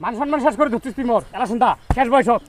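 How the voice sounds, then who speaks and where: A man's voice: extremely high pitch, racing, slight background noise. A man playing an angry rural father from a comedy skit, scolding and repeating questions in a North Bengal village accent. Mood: furious, threatening. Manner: hectoring, repeats questions insistently. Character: angry scolding village father confronting his stoned son. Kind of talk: amateur comedy skit acting.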